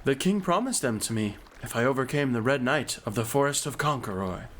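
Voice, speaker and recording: An actor playing a naive, impulsive young knight in an audio drama, blunt and guileless. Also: male voice, mid-range voice, animated, a little fast, fairly bright tone, a little hiss or hum, close to an everyday voice. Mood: eager, earnest. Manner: blunt, hot-headed, guileless.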